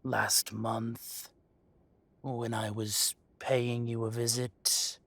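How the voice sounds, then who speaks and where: A man playing an arrogant dragon mafia boss in an audio roleplay, speaking deliberately with mock politeness and quiet threat. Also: medium pitch, flat delivery, gravelly, dry recording, heavily acted. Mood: coolly menacing. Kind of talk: scripted roleplay audio drama monologue.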